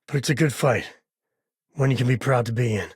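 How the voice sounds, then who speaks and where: An actor playing an easygoing middle-aged frontier ranger and dad in a space video game, husky and raspy, with a wry, plain-spoken manner. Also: male voice, mid-range voice, fairly quick, no room sound, clean studio sound. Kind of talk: video game character dialogue. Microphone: close, dry studio mic.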